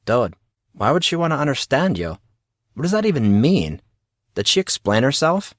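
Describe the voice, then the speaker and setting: A man voicing a teasing, excitable teenage schoolboy in an audio drama, questioning and exclaiming with mock drama. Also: mid-range voice, normal speed, dry recording, clean studio sound, voice pushed up, lightly acted. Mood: insistent. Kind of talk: audio drama character dialogue.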